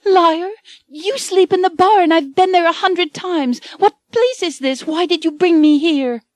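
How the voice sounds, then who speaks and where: A woman voicing a frightened, adoring young romance heroine, high and pleading. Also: expressive, slow, mellow, no room sound, clean studio sound, exaggerated voice. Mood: terrified.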